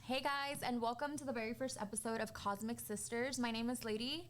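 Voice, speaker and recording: A woman's voice: fairly high pitch, fairly even intonation, a little fast, fairly bright tone. Young woman chatting casually on a podcast. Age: 20s. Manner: informal, candid, peppers talk with "like". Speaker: podcast co-host.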